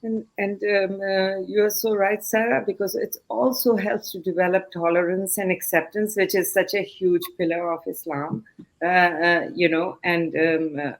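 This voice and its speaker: A woman from Canada, a chief executive on a panel, speaking haltingly with many fillers. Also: medium pitch, little pitch movement, low-quality recording. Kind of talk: panel discussion.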